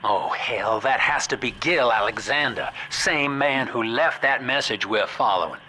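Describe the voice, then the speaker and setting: An actor playing a folksy, worldly guide in a video game, musing and conversational. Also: male voice, mid-range voice, a little slow, background noise, natural voice. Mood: wry, concerned.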